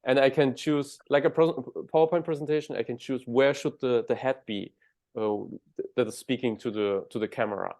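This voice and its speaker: A man's voice: medium pitch, steady tone, fairly quick, darkish tone. A man from Germany with a German accent, a guest in an interview, explaining things step by step and often restarting words.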